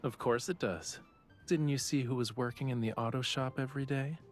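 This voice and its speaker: A man's voice: mid-range voice, normal speed, soft background music, close to an everyday voice. An actor playing a casually indifferent, teasing young male artist in a video game. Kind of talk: English video game voice acting.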